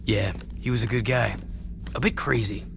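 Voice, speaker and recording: A man's voice: lowish voice, fairly even intonation, moderate pace, gravelly, slight background noise. A man voicing a tough, terse young action hero with a direct, understated delivery in a video game. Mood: matter-of-fact.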